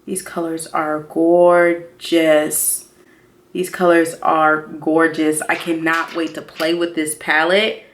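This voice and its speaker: Expressive, slangy woman vlogger in her thirties. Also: deep voice, slow. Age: 30s. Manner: expressive, slangy, emphatic, repeats herself for effect. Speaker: beauty vlogger. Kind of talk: casual excited unboxing chat.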